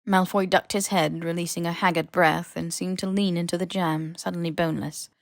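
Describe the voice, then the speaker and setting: A woman's voice: on the low side, little pitch movement, fairly quick, creaky, dry recording. Woman narrating a story, dry close sound. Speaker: podfic narrator. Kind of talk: expressive scripted story narration.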